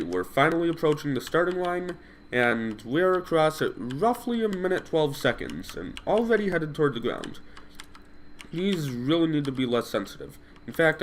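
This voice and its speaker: A man's voice: medium pitch, a little hiss or hum. A man with a stuffed-up voice from a cold, casually commenting on his own gameplay, rambling and correcting himself as he thinks aloud.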